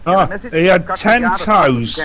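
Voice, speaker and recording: A man's voice: high-pitched, lively intonation, takes it slowly, crowd noise. A man bantering casually and crudely as a live stream co-host, joking his way through a show. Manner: crude, jokey. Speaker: live stream host riffing over an old radio play. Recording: voices and radio show talking behind.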